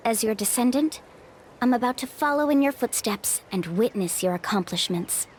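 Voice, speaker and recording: Casting: bubbly, confident young guide, a woman voicing her, lively pitch, light music behind. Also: moderate pace, slight background noise, close to an everyday voice. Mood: explaining. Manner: upbeat, playful, confident. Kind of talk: video game voice acting. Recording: game audio with music behind.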